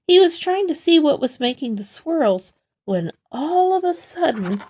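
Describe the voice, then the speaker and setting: A woman reads a picture book aloud for young children. Her voice is soft-edged and mellow, moving slowly with animated, expressive pitch. She leans on key words as she tells the story. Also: a little hiss or hum. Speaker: storybook reader. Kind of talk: children's read-aloud narration.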